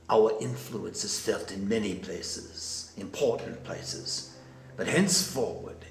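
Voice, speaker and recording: An actor playing the composed, menacing leader of a secret murderous society, speaking in formal, measured oratory in a film drama. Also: male voice, lowish voice, a bit bright, reverberant, slight background noise. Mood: solemn, menacing.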